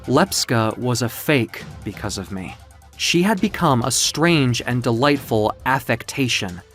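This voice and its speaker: A narrator telling a dramatic story in a measured, literary, theatrical way, as in an audio comic book. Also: mid-range voice, animated, a bit bright, soft background music.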